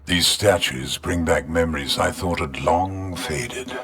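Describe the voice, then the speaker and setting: An actor playing a veteran tech-warrior with a dry, sarcastic, drawling way of talking. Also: male voice, low voice, takes it slowly, creaky, sharp tone, slightly rough, full character voice. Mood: wry. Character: wry veteran male tech-priest warrior, sardonic.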